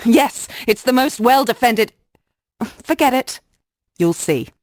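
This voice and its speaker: An actress playing a skeptical, sharp-tongued medieval woman in a video game cutscene, challenging and dismissive. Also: on the high side, lively intonation, slow. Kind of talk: scripted game cutscene acting.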